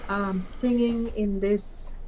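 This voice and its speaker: A woman's voice: mid-range voice, fairly even intonation, takes it slowly, noisy background. A woman co-hosting a casual online interview, asking questions hesitantly with many fillers. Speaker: co-host.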